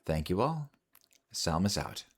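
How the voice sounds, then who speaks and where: Animated low-voiced man reading a report. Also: a little slow, breathy edge. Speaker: listener sending in a weekly report. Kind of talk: scripted spoken report.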